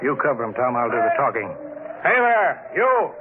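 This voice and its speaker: An actor playing a blustering colonial bureau chief, brusque and commanding, in an old radio drama. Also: male voice, medium pitch, big pitch swings, normal speed, phone-quality sound, a loud crowd, close to an everyday voice. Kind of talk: 1950s radio drama acting. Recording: scratchy old radio broadcast.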